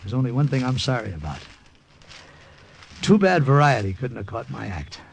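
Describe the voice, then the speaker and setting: An actor playing a brash, wisecracking American agent and magician in an old radio drama, with showy theatrical patter. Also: male voice, mid-range voice, big pitch swings, normal speed, vocal fry, slight background noise. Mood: pleased, wry. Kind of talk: 1950s radio drama acting. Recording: old radio recording with faint music.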